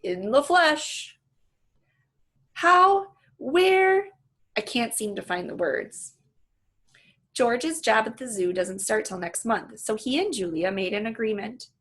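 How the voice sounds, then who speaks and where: A woman reading a children's book aloud in an animated, storytelling manner. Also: mid-range voice, a little slow, husky. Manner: animated, acts out the dialogue. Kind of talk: expressive read-aloud narration with asides to listeners.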